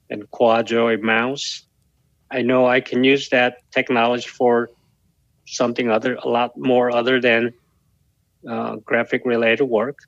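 Slow halting man, Korean native. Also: medium pitch, fairly bright tone, no room sound, highs cut off. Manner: halting, slow, many pauses and fillers. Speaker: guest. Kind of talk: podcast interview.

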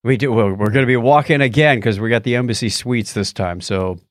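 A man's voice: medium pitch, brisk, dry recording, clean studio sound. An American man co-hosting a podcast in a lighthearted, jokey way, moving between scripted intro and casual banter. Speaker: podcast co-host. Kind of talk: scripted show opening and casual chat.

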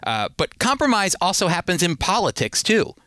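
Earnest, bright-voiced male radio Bible teacher. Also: high-pitched, very animated, normal speed, no echo, studio quality. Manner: earnest conversational preaching with fillers.

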